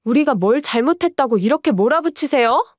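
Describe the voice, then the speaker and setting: Self-pitying younger son, acted out for an audio story, raised voice, very high, lo-fi. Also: male voice, animated, fairly quick, no echo. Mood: indignant. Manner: raised, indignant pleading. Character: defiant younger son, whiny and self-justifying. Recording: dull lo-fi dry sound.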